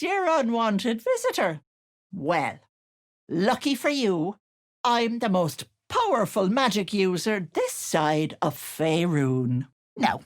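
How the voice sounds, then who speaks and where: Wildly swooping, sly old auntie hag. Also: female voice, fairly high pitch. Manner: cooing, wheedling endearments with sudden menace. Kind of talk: theatrical video game character acting.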